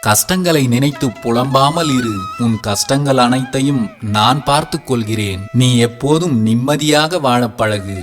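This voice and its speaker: Soothing protective deity voiced by a man, calm devotional address, mid-pitched, faint music underneath. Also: moderate pace, lightly acted. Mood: reassuring. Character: gentle, protective male deity speaking to a devotee. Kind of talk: scripted devotional narration. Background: soft background music.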